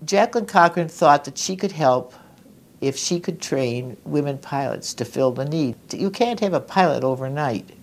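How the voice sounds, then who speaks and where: An older woman who flew during the war, recalling her past in an interview with a measured, reflective manner. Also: low voice, lively intonation. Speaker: interviewee, former wartime pilot.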